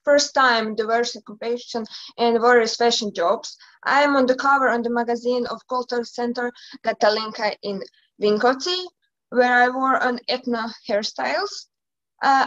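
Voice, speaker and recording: A woman's voice: a little high, muffled recording. A young woman in her twenties with a Croatian accent introducing herself on a video call, in careful English with some repeated words. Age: 20s. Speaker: pageant candidate, economics student. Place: indoor room.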